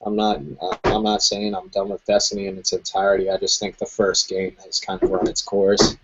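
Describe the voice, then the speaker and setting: A man's voice: deep voice, monotone, fairly quick. A man hosting a casual gaming chat, chatty and easygoing, laughing often between his thoughts. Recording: dull lo-fi stream audio with noise.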